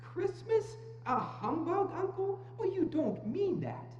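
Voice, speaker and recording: A buoyant, earnest young nephew, played by a woman, high and very lively in pitch with a mellow tone. The theatrical reading rings through an echoey hall. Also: slow, full character voice. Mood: cheerful. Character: cheerful, good-hearted young nephew of an old miser. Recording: echoey hall, dull sound, faint hum.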